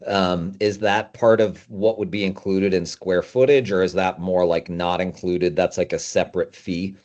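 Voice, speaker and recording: A man's voice: sits low, little pitch movement, moderate pace, darkish tone, muffled recording. A man talking informally and thinking aloud in a casual discussion about his trade, as a podcast host.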